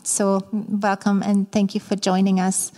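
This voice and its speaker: A middle-aged woman from Germany with a German accent, preaching as a guest speaker to a church congregation, candid and a little halting, with fillers. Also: medium pitch, completely flat delivery, warm tone. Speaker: guest speaker, ministry staff. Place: church sanctuary. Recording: long reverb of a large church hall.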